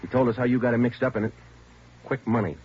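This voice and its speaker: An actor playing a terse police detective interrogating suspects in a 1950s radio drama, clipped and matter-of-fact. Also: male voice, mid-range voice, quick pace, slightly rough, lightly acted. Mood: firm. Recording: dull old radio broadcast with faint hiss.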